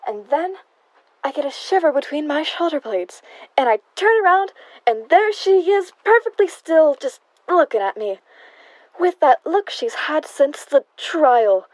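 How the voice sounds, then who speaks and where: A folksy, uneasy housemaid in an audio drama, played by a young woman. Her voice is high and plaintive, her words plain. It is recorded close, without audible room. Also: big pitch swings, a little slow, a little mellow, no room sound, poor audio. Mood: spooked, plaintive. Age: young adult. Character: Maggie, uneasy, plain-spoken housemaid quitting a gloomy house. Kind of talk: stage play acting in an audio drama.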